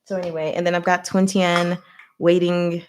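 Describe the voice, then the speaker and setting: A woman hosting a livestream, rambling casually in a chatty, giggly way with animated reactions. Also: on the low side, normal speed, a little hiss or hum. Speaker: livestream host.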